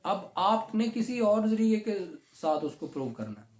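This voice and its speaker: A man's voice: high-pitched, big pitch swings, a little mellow. Man lecturing law in an echoey classroom. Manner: reads statute text slowly, then explains in conversational Urdu. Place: classroom with students.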